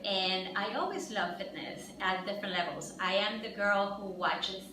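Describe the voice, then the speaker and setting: A woman's voice: medium pitch, normal speed, sharp tone. Lady, pumping up a room of trainees, sounds far from the mic, echoey with noise behind. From Ecuador. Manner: upbeat, encouraging, addresses the group directly. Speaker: fitness master trainer. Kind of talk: instructor training workshop.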